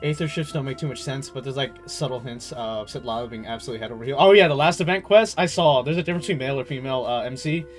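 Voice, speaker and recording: A man giving casual gaming commentary as a streamer, talking in an animated, slangy, exasperated way. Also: mid-range voice, racing, hardly any pauses, soft background music. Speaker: game streamer.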